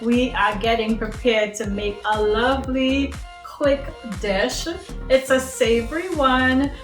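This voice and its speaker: A woman's voice: fairly high pitch, fairly even intonation, slow pace, echoey room, faint music behind. A woman from Trinidad and Tobago hosting a home cooking demonstration, chatty and talking to viewers as friends. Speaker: cooking show host.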